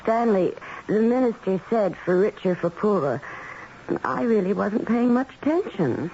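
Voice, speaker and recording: A woman's voice: mid-range voice, animated, normal speed, mellow, natural voice. A woman playing a pampered, rich-born wife in a radio drama, airy and teasing, calling her husband darling. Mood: needling. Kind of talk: 1970s radio drama acting. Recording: dull old radio broadcast, faint hiss.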